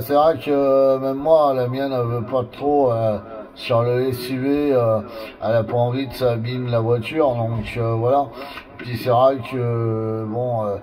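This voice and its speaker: A man gives colloquial, explanatory advice in a casual two-way radio chat. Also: medium pitch. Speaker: amateur radio operator. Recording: distant two-way radio sound.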